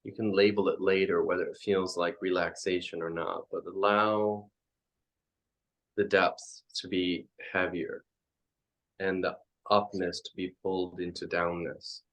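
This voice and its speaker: Slow, low-voiced man guiding meditation. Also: flat delivery, fairly bright tone, poor audio. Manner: halting, reflective phrasing with frequent pauses. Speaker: meditation teacher. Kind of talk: guided meditation.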